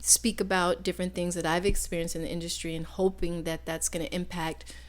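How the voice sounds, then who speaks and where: A soft-spoken woman co-hosting a new talk show, chatting casually and warmly agreeing with her partner. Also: lowish voice, little pitch movement, fairly quick. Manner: soft-spoken, agreeable, says she is shy. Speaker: podcast co-host. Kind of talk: casual chat.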